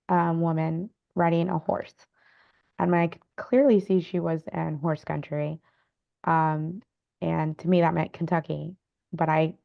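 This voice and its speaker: A woman's voice: on the low side, vocal fry, phone-quality sound. A woman speaking as a guest author, telling her own story casually. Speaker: panel guest, novelist.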